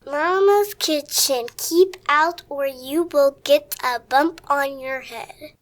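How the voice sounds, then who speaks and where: A performer playing a pious, earnest little girl of about seven in an audio drama, speaking in a deliberate, childlike way. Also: sits high, a bit bright.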